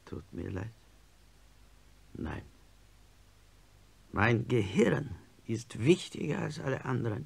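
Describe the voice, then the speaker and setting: Gravelly, domineering sick tycoon. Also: male voice, medium pitch, exaggerated voice. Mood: stubborn, cool. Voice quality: gravelly, husky. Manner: deliberate, sardonic, insistent. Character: ailing, domineering male industrialist, stubborn and coolly proud. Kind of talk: German radio play acting.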